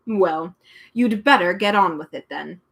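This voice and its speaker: A woman voicing a sly male demon of petty tasks, talking slowly in a sour, menacing, coaxing way. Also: on the low side, creaky, fairly bright tone, heavily acted. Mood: snarling.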